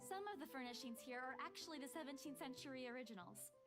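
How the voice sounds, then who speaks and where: A woman's voice: sits high, expressive, fast, music in the background. An actress playing a polite young female estate agent who presents a mansion in a visual novel game. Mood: polite, enthusiastic.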